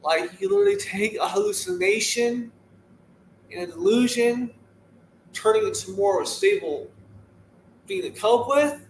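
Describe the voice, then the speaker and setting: Earnest high-voiced man in his thirties. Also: moderate pace, long pauses, reverberant, a little hiss or hum, poor audio. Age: 30s. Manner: earnest, repetitive, motivational. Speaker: podcast guest. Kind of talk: casual interview talk.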